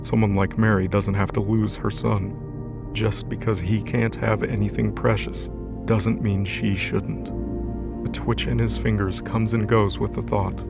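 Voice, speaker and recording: A man's voice: fairly low pitch, vocal fry. A man narrating an audio drama in a scripted, dramatic storytelling style.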